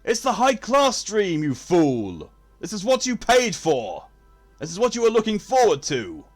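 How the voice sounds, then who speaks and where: A man voicing a haughty, posh British gentleman who scorns commoners in a pompous, theatrical, strained voice on a game stream. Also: mid-range voice, huge pitch swings, moderate pace, husky, soft background music. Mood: indignant, haughty. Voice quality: strained, constipated-sounding. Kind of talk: role-played persona on a game stream.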